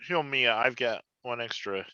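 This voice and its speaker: A man's voice: mid-range voice, unhurried, bright, forceful tone, no echo. A man chatting casually as a player in a tabletop game, in a dull, muffled recording.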